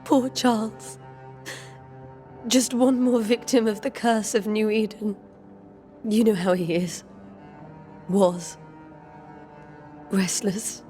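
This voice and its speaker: Actress doing a grieving colonial widow for a game, proper n measured, sad, mid pitch. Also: female voice, steady tone, warm tone, faint music behind, lightly acted. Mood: mournful. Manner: formal, measured, sorrowful. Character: grieving widow of a colonial settlement, refined and restrained. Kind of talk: video game drama acting.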